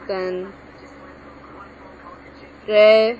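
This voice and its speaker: Slow rambling young woman, lo-fi home mic. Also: mid-range voice, flat delivery. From Mexican background. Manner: rambling, self-correcting, hesitant. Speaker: language tutorial maker. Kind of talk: casual spoken lesson while writing. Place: home room with a TV on. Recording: lo-fi home recording with background noise.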